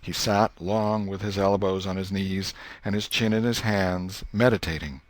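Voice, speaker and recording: A man reading a literary story as an audiobook narrator, in a measured storyteller manner. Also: low voice, fairly even intonation, no room sound.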